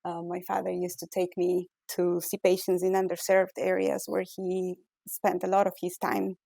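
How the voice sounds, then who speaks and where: A woman's voice: on the low side, completely flat delivery, normal speed, creaky, warm tone, dry recording. A middle-aged woman from Ecuador with an Ecuadorian Spanish accent, a physician scientist answering interview questions thoughtfully with many fillers and restarts.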